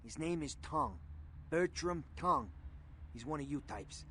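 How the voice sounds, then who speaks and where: A world-weary underworld fixer from a video game. He talks tough and slangy, in a fairly high male register, with faint noise behind him. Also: lively intonation, a little slow, a bit bright. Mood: confiding, wary.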